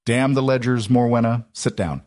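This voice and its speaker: A man voicing a bitter, feverish duke who speaks quietly and bluntly, with a low growl. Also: moderate pace, natural voice.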